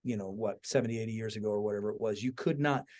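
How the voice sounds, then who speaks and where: A man's voice: on the low side, rapid-fire, a little mellow. A man hosting a podcast in a casual, conversational style, informal and enthusiastic. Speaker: podcast host.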